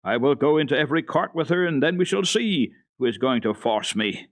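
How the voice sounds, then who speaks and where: Man reading as a stubborn, animated old mountain grandfather. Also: mid-range voice, a little fast, no echo, pitched above the performer's own voice, lightly acted. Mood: indignant, defiant. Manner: decided, firm, blunt. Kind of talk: dramatic storybook reading.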